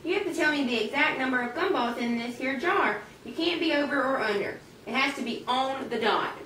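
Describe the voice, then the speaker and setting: A woman acts out a condescending registration clerk for a puppet show. She sounds officious and patronizing, in a roomy amateur recording with clear noise. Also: high-pitched, lively intonation, brisk, a bit bright, far from the mic, pitched below the performer's own voice. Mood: smug, dismissive.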